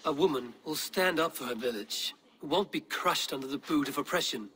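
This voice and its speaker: An actor playing a bold, blunt Viking warrior in a dramatic game cutscene, speaking in a direct, terse way. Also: male voice, fairly high pitch, fairly quick, sharp tone. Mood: firm, persuasive.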